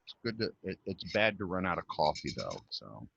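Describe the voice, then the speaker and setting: A man's voice: deep voice, sing-song, normal speed, creaky, a bit bright, breathy edge, no room sound, muffled recording. A man from the Southern United States with a Southern accent, hosting a casual interview chat. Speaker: interview host.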